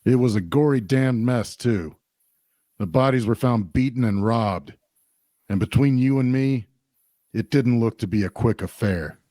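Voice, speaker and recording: Obliging, plain-spoken male tavern keeper voiced by a man, folksy and unhurried, mid-pitched, very dry sound. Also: mellow, no room sound, natural voice. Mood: grave, confiding. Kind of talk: audio drama table-read dialogue.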